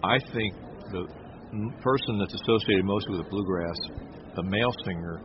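A middle-aged man with a low voice who runs the interview in long, rambling, complimentary questions. The sound is dull and lo-fi. Steady noise sits behind his voice. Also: normal speed. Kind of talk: casual interview talk. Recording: dull lo-fi audio with clear noise.

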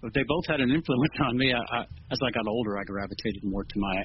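A man's voice: medium pitch, quick pace. A man speaking as a musician guest in a casual interview, earnest and modest in manner. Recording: dull lo-fi with clear noise.

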